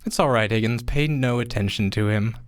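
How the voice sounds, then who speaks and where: A woman's voice: rumbling low, fairly quick, no room sound. A woman playing a teasing, romantic young lady from a wealthy family in a radio play, with a coy, dramatic manner. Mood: cool, teasing. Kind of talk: old-fashioned radio play acting.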